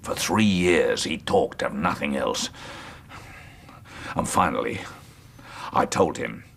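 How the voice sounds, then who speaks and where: An actor in a radio drama playing a dying old landowner confessing a killing, bitter and unrepentant, with a gravelly, husky voice. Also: male voice, rumbling low, lively intonation, takes it slowly, a bit bright, a little hiss or hum, exaggerated voice. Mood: weary, bitter. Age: older.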